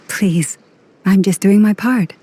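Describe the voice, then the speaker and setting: An actress playing a soft, modest, reassuring young woman with a husky, mellow voice in a video game. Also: mid-range voice, takes it slowly, background noise, close to an everyday voice. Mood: gentle, reassuring.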